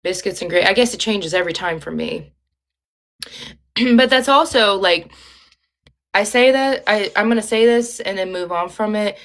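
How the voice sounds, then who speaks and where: A young woman with a light Ohio accent hosts her own livestream. She talks in a loose, rambling way, full of ums and likes, and her voice sits at a middle pitch. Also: moderate pace, long pauses, a bit bright. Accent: Ohio Midwestern. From Ohio, USA. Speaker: livestream host. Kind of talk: casual livestream chat.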